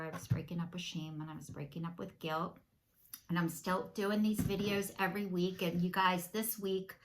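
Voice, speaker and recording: A woman's voice: fairly low pitch, a bit bright, low-quality recording. A woman, chatting candidly and self-deprecatingly to camera from her home. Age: 50s. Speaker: vlogger. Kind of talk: casual chat to camera.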